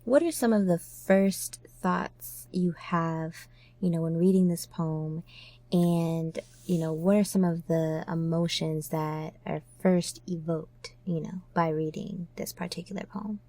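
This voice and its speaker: A woman's voice: fairly low pitch, steady tone, measured pace, dark tone, dry recording. A woman hosting a literary podcast in a casual, conversational style. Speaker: podcast host.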